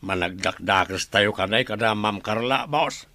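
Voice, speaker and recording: A man's voice: on the low side, normal speed, pushed and bright, no echo. A man playing a plain-spoken henchman guard in an audio drama, uneasy and deferential. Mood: reporting, deferential. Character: deferential male henchman guard, uneasy but loyal to boss. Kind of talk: Ilocano audio drama acting.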